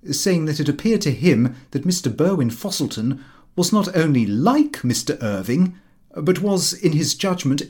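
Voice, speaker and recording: A man's voice: on the high side, a little mellow. A British man reading a comic diary as an audiobook narrator, in character with a fussy, indignant delivery.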